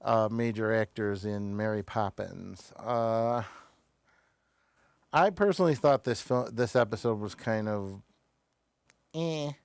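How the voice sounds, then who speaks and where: Halting man hosting a casual review show. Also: on the low side, vocal fry, clean tone, no room sound, clean studio sound. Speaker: show host reviewing television episodes.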